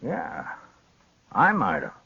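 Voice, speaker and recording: An American actor playing a stubborn, plain-spoken old rancher with a rural western accent in a 1950s radio western. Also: male voice, medium pitch, wildly swooping pitch, takes it slowly, gravelly, bright, forceful tone, slightly rough, heavily acted. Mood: blunt, defiant. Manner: plain-spoken, folksy, defiant. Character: stubborn, blunt old rancher, protective father. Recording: dull vintage radio recording.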